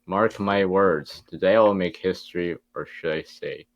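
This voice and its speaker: Man doing a deep, pompous cartoon mad scientist. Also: moderate pace, dry recording, highs cut off. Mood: gloating. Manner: declamatory, pompous. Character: theatrical villainous mad scientist, gloating and grandiose.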